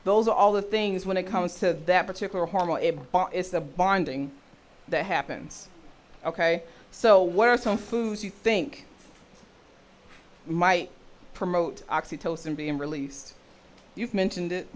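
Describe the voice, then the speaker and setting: A woman with a deep voice for a woman, teaching a health session and often checking in with her listeners. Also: a little slow. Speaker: health and intimacy educator.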